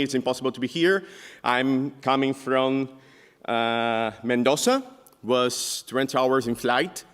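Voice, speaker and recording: Brazilian man talking in an echoey hall. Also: clean tone. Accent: Brazilian Portuguese. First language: Portuguese. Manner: halting, many filler sounds, lively pitch jumps. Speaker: conference speaker. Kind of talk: technical conference talk. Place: large conference hall stage.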